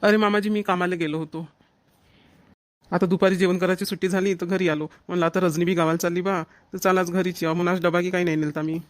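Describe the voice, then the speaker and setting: An actor as fast-talking, clingy young husband. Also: male voice, high voice, little pitch movement, natural voice. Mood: earnest. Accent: Varhadi Marathi, Vidarbha. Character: devoted, clingy young newlywed husband, eager to help. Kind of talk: cartoon family comedy dialogue.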